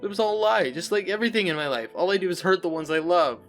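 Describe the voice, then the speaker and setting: A man's voice: high-pitched, fast talker, fairly bright tone, soft background music. A man playing an anguished, intense young traitor in a dramatic reel. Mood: grieving. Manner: brooding, intense, emotional. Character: anguished young traitor grieving his brother. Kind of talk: dramatic character acting.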